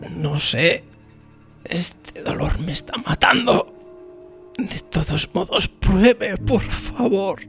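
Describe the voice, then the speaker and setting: A man's voice: sits high, lively intonation, slow pace, creaky, over music, full character voice. A man playing a tormented, helpless man in an audio story, theatrical and pleading. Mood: weak, pleading.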